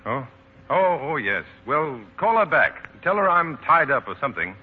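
An actor playing an earnest, busy political speechwriter in 1940s radio drama, animated and hesitant when cornered. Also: male voice, fairly high pitch, normal speed, husky. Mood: subdued. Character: Stephen, earnest busy male political speechwriter, sociable but evasive. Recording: dull vintage 1940s radio broadcast.

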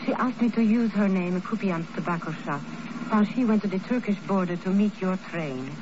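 A woman's voice: medium pitch, a little fast, natural voice. Actress as earnest spy's female ally, careful formal phrasing, 1950s radio drama, muffled and noisy recording. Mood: explaining. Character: earnest, anxious young female ally of a secret agent.

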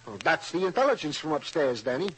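A man's voice: fairly high pitch, very animated, quick pace, fairly bright tone, faint music behind, low-quality recording. A man playing a chatty police sergeant in an old radio drama, with a wordy, pompous way of talking. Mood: jovial. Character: chatty Italian-American police sergeant, folksy. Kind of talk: 1950s radio drama acting.

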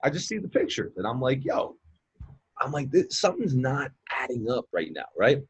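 A man's voice: mid-range voice, huge pitch swings, normal speed, breathy edge. A man in his late twenties, a mindset coach and guest, talking casually in a rambling way with many fillers and repeated words. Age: 20s. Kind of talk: casual podcast conversation.

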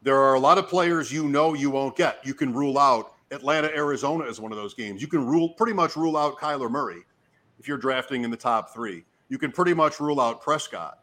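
A man, hoarse from talking, hosting a casual fantasy sports show and commentating in short, fragmented phrases as he thinks out loud. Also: mid-range voice, a little fast. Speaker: fantasy football show host giving draft advice. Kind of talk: casual talk, live draft commentary.

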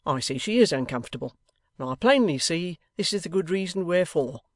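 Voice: mid-range voice, lively intonation, fairly quick, dry recording, studio quality, pitched above the performer's own voice, natural voice. A storybook reader voicing a grave, plain-spoken older husband with an emphatic, firm and homely manner. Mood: blunt, firm.

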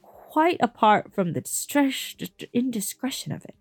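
A woman voicing a smooth, evasive older male official who talks slowly and overly politely. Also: a little high, expressive, no echo, pitched above the performer's own voice. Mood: placating.

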